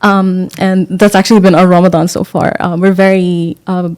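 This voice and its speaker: A woman's voice: lowish voice, little pitch movement, moderate pace, darkish tone, smooth. A young woman from Sudan, an entrepreneur on a panel, telling her story in a composed, fluent way.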